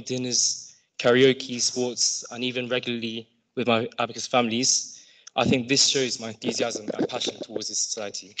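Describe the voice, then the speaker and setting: A young man reads a prepared campaign speech from notes at a lectern microphone. His voice sits at an ordinary male pitch, and he keeps a steady pace with a few restarts. The sound has the open feel of a large lecture hall. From London, England. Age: young adult. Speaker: student society presidential candidate.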